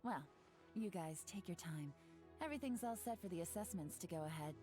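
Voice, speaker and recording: An actress playing a cool, confident female covert agent in a video game, wry and brisk. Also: fairly low pitch, expressive, close to an everyday voice. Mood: breezy. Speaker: game character. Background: game soundtrack music.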